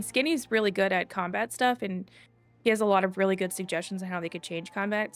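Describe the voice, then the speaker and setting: A woman's voice: medium pitch, vocal fry, fairly bright tone. A woman streaming a game, chatting casually and rambling a little as she reads and answers viewer comments. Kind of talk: casual live gameplay chat, reading viewer comments. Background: faint game music.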